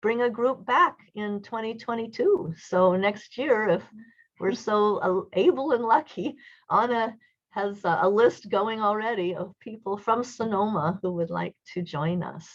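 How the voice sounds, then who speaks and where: A woman of medium pitch talks with enthusiasm, stopping often on fillers and restarts. The sound is dull and lo-fi, like a video call recording. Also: unhurried. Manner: enthusiastic, hesitant with many fillers. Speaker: speaker at a charity event. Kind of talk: casual recorded video message.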